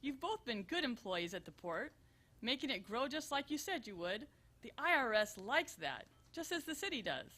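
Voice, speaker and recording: A woman's voice: a little high, sing-song, normal speed, bright, forceful tone, heavily acted. A woman playing an earnest, busy and exasperated grown daughter in a live comedic radio show, with emphatic theatrical delivery. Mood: puzzled. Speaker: stage performer in a benefit show.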